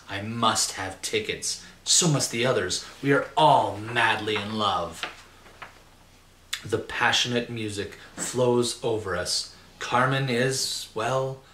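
A man's voice: medium pitch, slow pace, bright, forceful tone, a little hiss or hum. A middle-aged man reading a children's picture book aloud with expression, indoors. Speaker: storybook reader. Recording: roomy echo, faint music behind.

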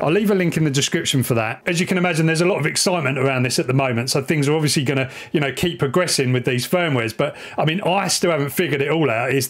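A man in his forties presenting a casual, enthusiastic, informal tech review and explaining as he goes. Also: on the high side. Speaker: amateur radio vlogger and presenter. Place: small indoor room, home radio shack.

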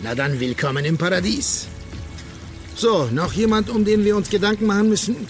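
A man's voice: high-pitched, moderate pace, faint music behind, natural voice. An actor playing a wealthy, smug, regal prince in a radio drama, savouring his words theatrically. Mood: gracious, commanding.

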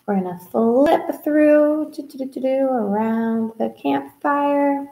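Young lady doing kids storytime, highish voice, sing-songy n expressive. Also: takes it slowly, vocal fry, rough recording. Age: young adult. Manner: expressive, sing-song, child-directed. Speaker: children's librarian hosting a read-aloud. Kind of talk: children's story-time presentation. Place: small indoor room with whiteboard.

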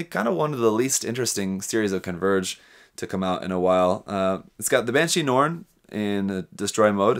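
A young man hosting a hobby news show, running through items casually with his own commentary. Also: medium pitch. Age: young adult. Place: small indoor hobby workshop room. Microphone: desk microphone with pop filter, close.

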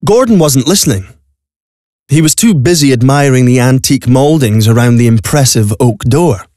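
A man with a medium-pitched voice narrates an audio play. He reads the scripted story dramatically, in a dry studio sound. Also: lively intonation, moderate pace, a little mellow, dry recording. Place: recording studio.

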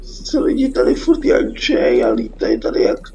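A woman's voice: low-pitched, wildly swooping pitch, vocal fry, dark tone, a little hiss or hum. A Czech woman with a cold giving casual, sweary let's play commentary, muttering to herself as she plays. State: sick with a cold. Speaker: gaming YouTuber. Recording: dull lo-fi home recording. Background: game music.

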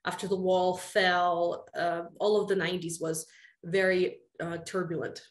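A woman's voice: on the low side, little pitch movement, a little slow. A young woman from Russia, a guest author, chatting candidly over a video call from a small room. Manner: candid, chatty storytelling with light laughs. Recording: echoey room.

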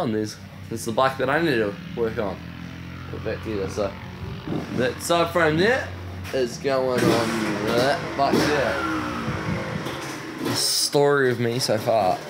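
A man's voice: medium pitch, background noise. A young man in his late teens with a New Zealand accent, talking candidly and offhandedly into a phone held at arm's length as he films his own video diary outdoors on a farm. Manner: candid and offhand, with frequent fillers. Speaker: teen documenting his own life. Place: outdoors on a rural farm and in a bike shed. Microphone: phone camera held at arm's length.

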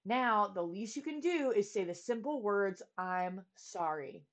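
A woman's voice: mid-range voice, expressive, a little slow, natural voice. A woman voicing an earnest, bold storybook boy who speaks plainly and urgently. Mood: scolding. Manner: urgent, plain-spoken, scolding. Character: earnest, bold boy, outspoken to his king.

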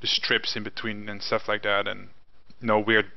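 A man's voice: on the low side, monotone, normal speed, gravelly. A young man whose first language is Norwegian, co-hosting a casual gaming chat over a headset mic from his home, rambling with restarts and fillers. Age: young adult. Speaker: co-host. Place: home room with window. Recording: dull lo-fi webcam call audio.

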